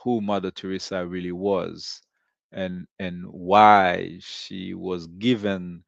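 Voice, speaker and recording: A man from Haiti hosting a commentary monologue, speaking deliberately with frequent pauses and fillers. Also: low voice, level tone, vocal fry, dry recording, dull audio. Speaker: host of a commentary show. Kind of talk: slow reflective commentary monologue.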